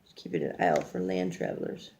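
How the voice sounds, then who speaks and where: An older woman reads a picture book aloud like a grandmother, haltingly, stumbling over words and adding casual asides. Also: on the low side, huge pitch swings, creaky, a little mellow, slight background noise. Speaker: grandmother reading a storybook aloud.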